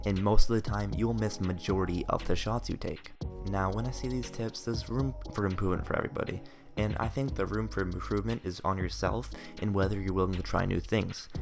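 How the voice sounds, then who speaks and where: A young man with a raspy, tired voice giving earnest gaming tips in a late-night voice-over. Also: low-pitched, darkish tone. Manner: earnest, advice-giving, loose phrasing. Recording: faint music behind voice. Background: faint background music.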